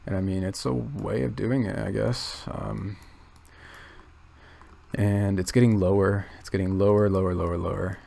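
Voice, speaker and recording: Quiet gravelly-voiced man rambling. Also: fairly low pitch, fairly even intonation. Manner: quiet, halting, thinking aloud with many restarts. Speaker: channel host. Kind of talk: casual rambling commentary.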